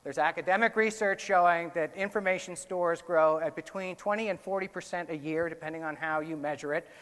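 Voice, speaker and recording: A man's voice: high voice, fairly bright tone, smooth. A man with an American accent gives a conference keynote on research findings in a conversational way, often correcting himself. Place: indoor conference hall, on stage. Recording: echoey hall with long reverb.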